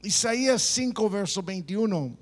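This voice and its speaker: A man's voice: high voice, expressive, measured pace. A middle-aged man preaching a sermon, playful, mimicking people, with stop-start phrasing. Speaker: pastor.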